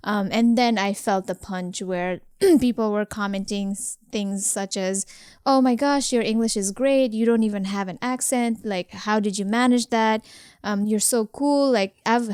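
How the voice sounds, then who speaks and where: Woman co-host from Nepal, Nepali first language, conversational personal storytelling, crisp medium-pitched voice, no room sound. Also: moderate pace, darkish tone, no room sound, clean studio sound. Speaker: podcast co-host. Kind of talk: casual chat, sharing personal experience.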